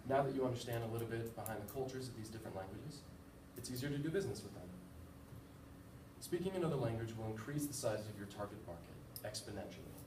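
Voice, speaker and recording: A man's voice: mid-range voice, lively intonation, fast. A young man from the United States gives a casual, informative class presentation, with a few fillers and self-deprecating asides. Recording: echoey room, far from the mic, with background noise.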